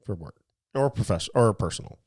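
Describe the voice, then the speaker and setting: A man's voice: fairly low pitch, expressive, quick pace, darkish tone, slightly rough. A man co-hosting a casual talk podcast, explaining through analogies and asking his partner leading questions. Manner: talks in analogies, asks leading questions, frequent restarts. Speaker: podcast co-host. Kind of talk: casual chat.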